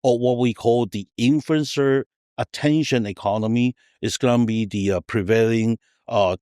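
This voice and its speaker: A middle-aged man speaks as a podcast guest in a dry, close recording. His pitch sits in the middle, and he explains emphatically, often tagging phrases to check he is understood. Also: moderate pace, mellow, clean tone, dry recording. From Taiwan, raised partly in Argentina. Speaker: interview guest, tech entrepreneur. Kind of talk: conversational podcast interview.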